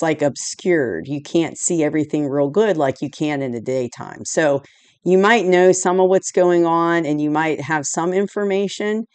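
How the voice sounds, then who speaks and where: A hoarse woman recovering from a cold, giving a rambling, casual tarot reading indoors at home, full of "you know" and "like". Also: deep voice, darkish tone, dry recording. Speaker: tarot reader. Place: indoors at home, dim room lit by a candle and lamp.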